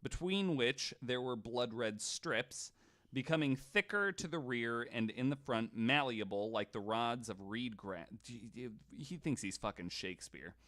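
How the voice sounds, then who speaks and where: A man's voice: mid-range voice, a little slow, a bit bright. A man hosting a podcast in casual storytelling style, irreverent and sweary, often stammering and repeating words. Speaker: podcast host. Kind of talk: casual storytelling chat, sometimes reading quotes aloud.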